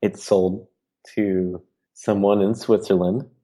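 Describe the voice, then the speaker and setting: A man's voice: lowish voice, fairly even intonation, takes it slowly, warm tone. A man talking earnestly as a guest artist on a podcast, musing on art, honesty and creative work. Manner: earnest, philosophical. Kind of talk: podcast conversation.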